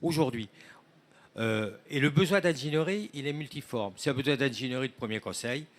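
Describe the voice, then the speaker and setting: A man's voice: mid-range voice, animated, a little fast. A man speaking on a conference panel, presenting a report in semi-prepared talk and listing points with emphatic repetition. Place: conference room, speaking to an audience.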